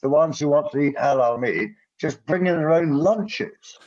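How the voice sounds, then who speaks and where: A man on a panel call who talks haltingly and repeats his words. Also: a little high, darkish tone. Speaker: panel guest. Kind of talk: casual political chat. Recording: muffled lo-fi call audio.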